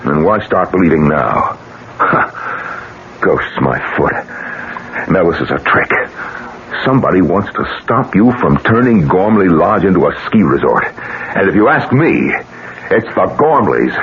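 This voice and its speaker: Determined American widower, 1970s radio drama: emphatic, swooping delivery, low gravelly register, noisy broadcast tape. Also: male voice, sing-song, unhurried, muffled recording, heavily acted. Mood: grimly determined. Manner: emphatic, dramatic, pressing with rhetorical questions. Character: determined, combative self-made businessman, a grieving widower.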